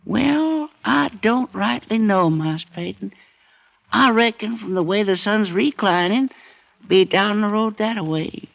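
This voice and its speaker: An actor playing an older freed Southern man, humble and quietly ominous, with a slow drawling American Southern accent in 1940s radio acting. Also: high-pitched, creaky, full character voice. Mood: sly. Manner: slow drawling folksy speech. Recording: muffled old radio broadcast.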